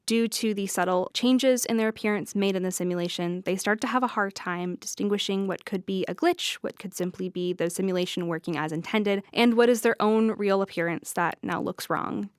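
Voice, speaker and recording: A woman's voice: mid-range voice, brisk, few pauses, clear, resonant tone, no echo, studio quality. A woman in her twenties from Canada, explaining her work as a playwright in an interview. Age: 20s.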